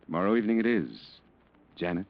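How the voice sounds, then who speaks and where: A man's voice: lowish voice, fast, background noise, lightly acted. A man playing a polite, somewhat hesitant businessman in 1940s radio drama style. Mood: polite, interested. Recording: dull old radio recording.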